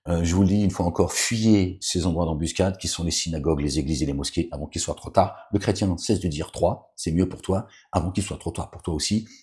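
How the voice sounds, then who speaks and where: A man with an extremely low, deep voice preaches in an offhand, rambling way. He keeps breaking off with fillers and asides to the people listening. Between readings he admonishes them with stern warnings. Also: brisk, few pauses, a little mellow. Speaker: religious commentator reading scripture. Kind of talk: informal spoken sermon with scripture reading.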